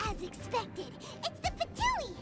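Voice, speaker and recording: A woman's voice: very high voice, huge pitch swings, measured pace, music in the background, heavily acted. A woman voicing a tiny, chirpy, cheeky fairy girl companion with a childlike manner in a video game. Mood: nervous. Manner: childlike, refers to self in third person.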